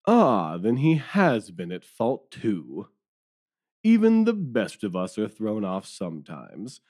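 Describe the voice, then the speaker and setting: A man plays a humbled police detective in an audio drama. The voice is low and mellow, with pitch that swings widely. He is wordy, deferential and rueful. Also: wildly swooping pitch, slow, full character voice. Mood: musing.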